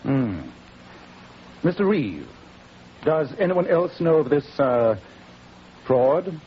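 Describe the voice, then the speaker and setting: A brisk English detective in a vintage radio mystery. His fairly high voice swings expressively as he probes with formal courtesy. The sound is dull, with a faint hiss. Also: sing-song. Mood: probing. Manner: crisp, formal, incisive questioning. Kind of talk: 1940s radio drama acting. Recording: muffled old radio recording with hiss.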